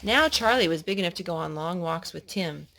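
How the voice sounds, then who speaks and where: Animated woman reading a storybook aloud. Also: fairly low pitch, gravelly, a little hiss or hum. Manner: animated, child-directed, pausing to explain and ask questions.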